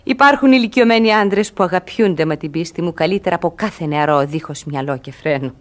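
A woman's voice: on the low side, close to an everyday voice. An actress playing a spirited, scornful young lady in a radio comedy, exclaiming with disdain. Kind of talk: theatrical radio comedy acting.

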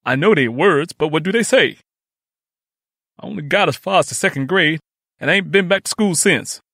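Man playing high, excitable boy Willie. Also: normal speed, no echo, studio quality. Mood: stubborn. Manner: emphatic, folksy vernacular. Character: excitable, stubborn young Southern boy, Willie. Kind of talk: dramatised audiobook dialogue.

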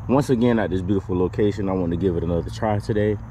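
Guy doing a casual outdoor fishing vlog, lowish voice, folksy, noticeable noise behind him. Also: very fast. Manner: folksy, informal, repeats "y'all". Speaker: fishing vlogger. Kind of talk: casual chat to viewers. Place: outdoors on a wooden waterside boardwalk. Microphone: action camera, at arm's length or nearby.

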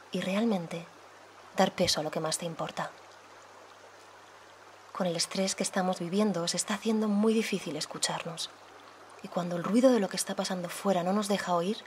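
A woman leading a guided meditation as a yoga guide, speaking softly and soothingly, unhurried, with pauses between phrases. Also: fairly low pitch, a little hiss or hum. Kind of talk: guided meditation, slow calm instruction.

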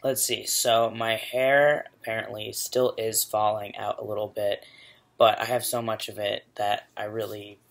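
Young man, low gravelly voice, flat delivery, relaxed candid video diary, laptop webcam, small room. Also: a little slow. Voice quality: rumbly, gravelly. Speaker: vlogger. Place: small bedroom indoors.

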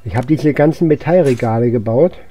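A man's voice: medium pitch, fairly quick, mellow, soft background music. A man giving casual let's play commentary as host, musing aloud to himself as he goes. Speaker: gaming channel host.

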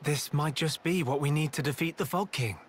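Actor doing thoughtful young hero guy for a video game, lightly high pitched, sincere. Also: brisk, a little hiss or hum, natural voice. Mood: caring.

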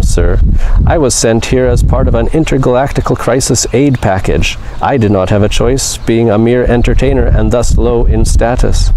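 A man's voice: medium pitch, close to an everyday voice. A man voicing a polite, octopus-like alien entertainer who tells a story in formal, literal, slightly huffy speech, reading aloud. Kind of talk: storytelling, read aloud from a text. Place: open field outdoors. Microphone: camera a few metres away. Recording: outdoor noise from open-air camera.

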